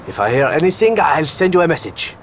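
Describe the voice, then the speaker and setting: A man playing a calm, cunning spymaster in a video game cutscene, giving instructions in a measured, conspiratorial manner. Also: on the high side, noisy background. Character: cunning, calm spymaster ally briefing his agent.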